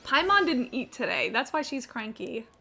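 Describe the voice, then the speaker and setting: A woman's voice: on the high side, very animated, moderate pace, gravelly, pushed and bright. A woman livestreaming a game with casual, blunt, sweary, opinionated commentary.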